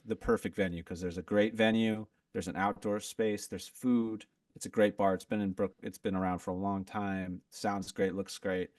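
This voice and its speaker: Podcast guest: man, lowish voice, loose rambling chat, dry sound a bit off-mic. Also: dry recording, distant mic. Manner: relaxed, rambling, lots of "like" and restarts. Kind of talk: casual chat.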